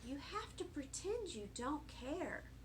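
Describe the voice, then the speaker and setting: A woman voicing a patient, coaxing mother in a children's storybook, speaking gently. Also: high-pitched, sing-song, slow, a little hiss or hum, heavily acted. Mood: reassuring. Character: soft-spoken, patient, coaxing mother of a little girl. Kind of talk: children's storybook read-aloud.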